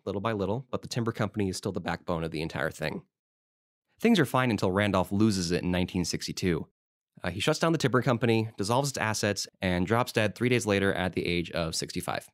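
A man's voice: lowish voice, dry recording, studio quality, lightly acted. A man playing an eager small-town historical society president in an audio drama, telling stories in an informal, rambling way. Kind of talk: conversational audio drama monologue.

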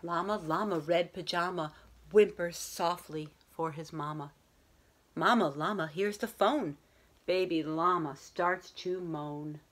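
A woman's voice: on the low side, fairly even intonation, slow, fairly bright tone. A middle-aged woman reading a picture book aloud to children, expressively, drawing out some words. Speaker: read-aloud host. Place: room at home, indoors.

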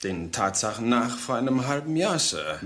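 Pedantic, deferential detective sergeant. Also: male voice, medium pitch, big pitch swings, normal speed, a bit bright. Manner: deferential, formal, precise. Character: dutiful, pedantic, literal-minded police detective sergeant. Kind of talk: German radio crime drama acting.

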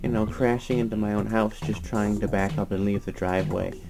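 A sleepy young man lying on a floor, talking in a drowsy, rambling, deadpan way into a nearby webcam. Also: fairly low pitch, fairly quick, gravelly, over music. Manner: drowsy, mumbling, deadpan with sudden laughs. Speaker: vlogger. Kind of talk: rambling late-night webcam monologue. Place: lying on a floor in a room indoors.

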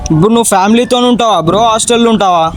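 High-pitched young man grilling someone on a call. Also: racing, over music. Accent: Telangana Telugu. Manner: accusing, interrogating, slangy. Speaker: prank caller. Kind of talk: confrontational prank phone call.

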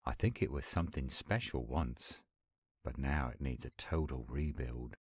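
A man acting an earnest young werewolf pack leader in a roleplay audio drama, speaking in a measured way. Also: very deep voice, a little mellow, husky, no room sound, studio quality. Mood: earnest, hopeful.

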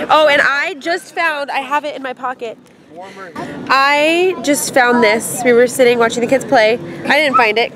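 A woman's voice: sits high, background noise. Giggly young woman on a handheld camera. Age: 20s. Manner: chatty and giggly, lots of laughing. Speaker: family vlogger. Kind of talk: casual family vlog. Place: aquarium and seaside street, outdoors. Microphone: handheld camera at arm's length.

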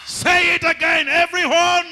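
High-pitched older Ugandan preacher in a reverberant hall. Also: male voice, little pitch movement, normal speed, vocal fry, background noise. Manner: declamatory, speaking in short emphatic phrases. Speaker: preacher, apostle. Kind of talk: sermon with pauses for an interpreter. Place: large church hall, on stage. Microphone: handheld microphone.

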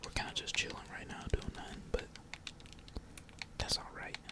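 A gamer whispering slangy, laid-back ASMR commentary while playing, with casual swearing. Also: normal speed. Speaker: gaming streamer.

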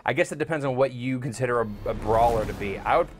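A man's voice: medium pitch, fast talker. A man hosting a video game show, giving casual, joking gameplay commentary. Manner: loose, joking, with frequent restarts.

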